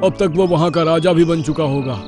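A man's voice: a little high, a little fast, over music, lightly acted. A man voicing an arrogant male lion who wants to rule the jungle, boastful and domineering. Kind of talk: cartoon dubbing.

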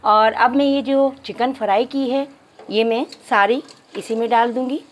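A woman's voice: on the high side, fairly even intonation, unhurried. A woman narrating a cooking tutorial step by step, addressing viewers politely. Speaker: cooking channel host.

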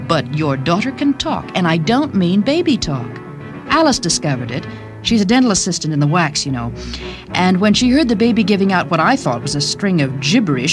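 A woman's voice: mid-range voice, close to an everyday voice. An actress playing a doting, chatty young mother in a radio drama, confiding and gushing expressively.

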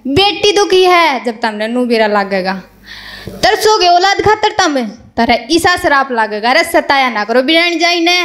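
Young female poet, highish voice, recites verse at an open mic and repeats each couplet. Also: very animated, unhurried, noisy background. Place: small indoor stage. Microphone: stand mic.

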